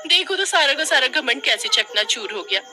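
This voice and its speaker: A man's voice: very high-pitched, very animated, faint music behind, pitched above the performer's own voice. A man voicing a gossipy, taunting village woman neighbour in a cartoon story. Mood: mocking. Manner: taunting, drawn-out, nosy.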